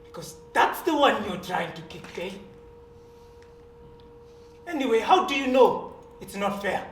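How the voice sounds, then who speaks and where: An actor playing a dignified older servant on stage, measured and firm, with bitterness underneath. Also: mid-range voice, expressive, fairly bright tone, natural voice. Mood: bitter. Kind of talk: stage play acting. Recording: roomy stage recording from a distance, faint hiss.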